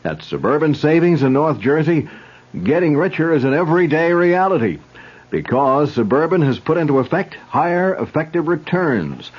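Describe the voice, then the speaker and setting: Breathy male radio ad announcer. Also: on the high side, sing-song, moderate pace. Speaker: commercial announcer. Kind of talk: 1970s radio advertisement read. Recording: lo-fi 1970s radio broadcast.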